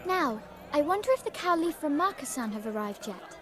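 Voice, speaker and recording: An actress playing a kind, sincere girl companion in an animated fantasy game. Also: sits high, a little fast, crowd noise, noisy background. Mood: gentle, thoughtful.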